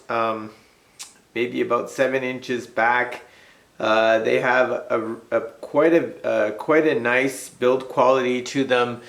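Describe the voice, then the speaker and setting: A man hosting a casual, unscripted product review, speaking tentatively with pauses and approximations. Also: mid-range voice, vocal fry, fairly bright tone. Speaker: host of a hi-fi speaker review show.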